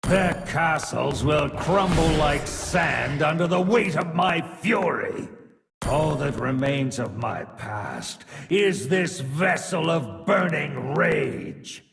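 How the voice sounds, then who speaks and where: A long reverb and music surround this wrathful betrayed warrior from a video game. The voice is pitched high for a man and swings dramatically in range. The delivery is grandiose and menacing, with a gravelly edge. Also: animated, slow, recorded from a distance, heavily acted. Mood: brooding menace. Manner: theatrical, grandiose, menacing declamation. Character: vengeful betrayed male warrior wielding infernal worm power, wrathful. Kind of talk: video game character voice lines.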